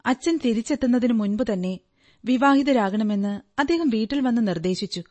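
A woman's voice: on the high side, mellow. A woman acting in a radio drama as an earnest, trusting young client, politely recounting her story in detail. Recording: radio drama with background music. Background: music.

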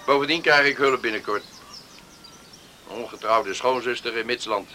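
A man's voice: mid-range voice, moderate pace, pushed and bright, slight background noise, lightly acted. A man acting a proud, overworked farmer in a radio play, clipped and dismissive. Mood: curt, stubborn. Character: proud, curt, overworked farmer refusing all help.

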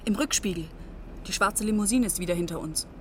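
A woman's voice: mid-range voice, brisk, darkish tone, slight background noise, lightly acted. An actress playing a plucky, stubborn young woman who helps a sleuth in a German radio crime drama. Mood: tense, alert. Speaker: actor in a radio play.

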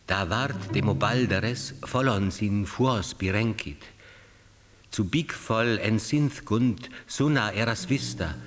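An actor in a radio drama voicing a solemn man reciting an ancient spell in measured, rhythmic phrases. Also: fairly low pitch, a bit bright, a little hiss or hum. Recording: long cavernous reverb.

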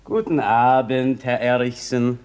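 A man's voice: deep voice, normal speed, a little mellow. A man acting in a radio play as a courteous old beggar, speaking with ceremonious, fussy politeness. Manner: ceremonious, repetitive formal politeness.

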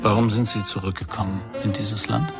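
Loud music sits behind a fairly low-pitched man, a sober investigator in a radio crime drama. He is direct and quietly persistent, always probing. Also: steady tone, brisk, gravelly. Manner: direct, questioning. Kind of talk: German radio drama acting.

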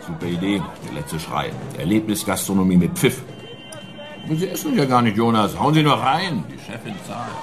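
An actor playing a huge, brutish thug in a radio drama, jeering and condescending, with a cocky manner. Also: male voice, fairly low pitch, big pitch swings, moderate pace, over music. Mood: smug, condescending. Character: huge, brutish, gloating male thug posing as art doctor.

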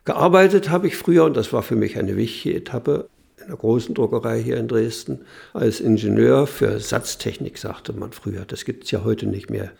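A man in his eighties from Mecklenburg in Germany, talking about his own life in a plainspoken, halting way. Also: medium pitch, creaky, darkish tone. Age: 80s. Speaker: retired printing engineer, interviewee. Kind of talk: personal spoken recollection.

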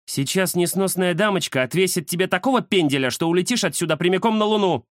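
A man's voice: fairly high pitch, lively intonation, moderate pace, a bit bright, no echo, studio quality, pitched above the performer's own voice. A man voicing a grumpy, bossy female cat who scolds and threatens haughtily in a children's story. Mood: gruff, grudging.

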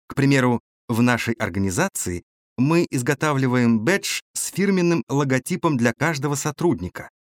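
A man's voice: medium pitch, no room sound, studio quality. A man reading a scripted advice audiobook as narrator, addressing the listener directly in an instructive way. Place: recording studio.

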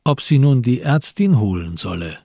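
A man with a husky, gravelly voice narrating a literary radio drama from a script. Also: medium pitch, very animated, moderate pace, dark tone, dry recording, clean studio sound.

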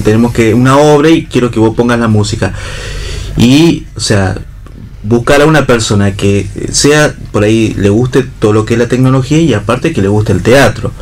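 Halting low-voiced Argentine man. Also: darkish tone. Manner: hesitant, halting phrasing. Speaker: radio talk show panellist. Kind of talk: casual discussion. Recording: clear background noise, room ambience.

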